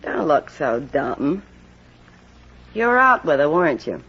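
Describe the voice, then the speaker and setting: An actress playing a jealous, scornful crime boss and wife in an old-time radio drama, blunt, bossy and sarcastic with a husky voice. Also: female voice, sits low, very animated, measured pace, exaggerated voice. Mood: accusing. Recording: dull old radio recording.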